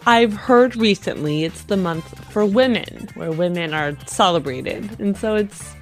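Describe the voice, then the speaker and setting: A woman's voice: mid-range voice, expressive, measured pace, soft background music. A woman chatting in casual, playful banter as a player and co-host of a tabletop game show.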